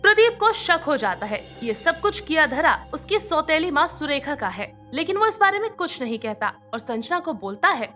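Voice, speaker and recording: A woman's voice: sits high, big pitch swings, moderate pace, hardly any pauses, sharp tone, faint music behind. A woman reading scripted narration for a moral bedtime story as its storyteller.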